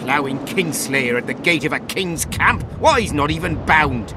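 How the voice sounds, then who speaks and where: An actor playing a hostile, foul-mouthed army camp guard with a coarse, threatening bark in a video game. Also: male voice, a little high, animated, moderate pace, noisy background, close to an everyday voice. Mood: hostile contempt. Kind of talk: dramatic video game dialogue.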